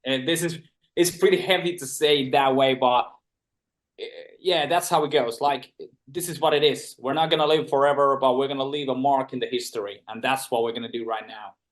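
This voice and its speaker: A man's voice: mid-range voice, steady tone, fairly quick. An earnest, intense man with a Finnish accent, a musician guest on a podcast. He speaks English as a second language, often repeating his first words before his thought gets going. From Finland. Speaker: band member, guest. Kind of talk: podcast interview answers.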